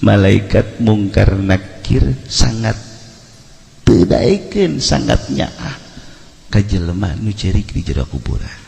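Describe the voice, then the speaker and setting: A man with a Sundanese accent preaching as a storyteller, humorous and theatrical, acting out dialogue and swinging between hushed and loud delivery. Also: fairly low pitch, expressive, slow pace, gravelly, a little hiss or hum. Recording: echoey hall with long reverb.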